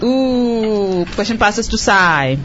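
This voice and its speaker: A woman hosting a quiz show for children, repeating each question slowly and cheering the contestants on. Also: medium pitch, expressive, a bit bright, lo-fi sound, slight background noise. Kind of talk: hosting a radio quiz for kids.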